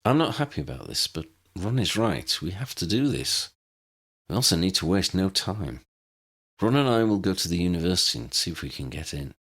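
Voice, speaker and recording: A man's voice: sits low, very animated, a little fast, a bit bright, husky, heavily acted. A man voicing a decisive, practical young male team leader in an audiobook.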